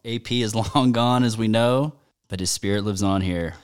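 Story-telling man, smooth low voice. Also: normal speed. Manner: storytelling, swears often, mimics voices in quotes. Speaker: podcast co-host. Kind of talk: casual comedic chat.

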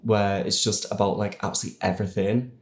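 A woman's voice: rumbling low, flat delivery, fast. A woman chatting casually as a podcast panellist, in a rambling, colloquial way. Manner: rambling, colloquial, uses many "like"s. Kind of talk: casual chat.

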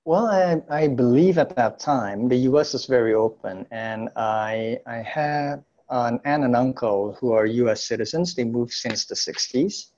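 Halting man on a slightly dull call. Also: medium pitch, darkish tone. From Indonesia, later United States. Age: 40s. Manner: halting, self-correcting. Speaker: interview guest, entrepreneur. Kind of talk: casual conversational interview. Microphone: remote call.

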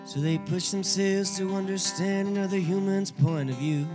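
A man's voice: sits high, normal speed. A man reading a rhyming podcast intro in verse, with guitar music behind him. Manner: reads rhyming verse, then breaks off swearing. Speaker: intro narrator.

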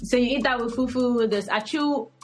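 A woman's voice: a little high, moderate pace. Woman, cameroonian english accent, thirties, chatty guest, faint music underneath. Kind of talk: podcast interview, casual chat.

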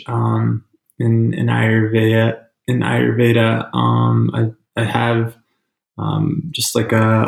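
Slow, gravelly-voiced male host. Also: medium pitch, echoey room, poor audio. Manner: hesitant, many fillers and restarts. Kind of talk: interview chat.

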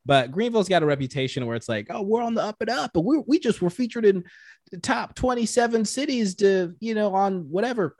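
A man's voice: sits high, animated, brisk, darkish tone, dry recording, clean studio sound. A young man from Tanzania, a podcast guest, telling stories in a laid-back, slangy way. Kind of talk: casual chat.

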